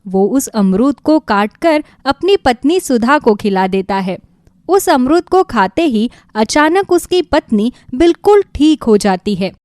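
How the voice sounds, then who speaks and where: A woman narrating a scripted children's moral story in an expressive storytelling manner. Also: a little high, a little slow, no room sound, studio quality.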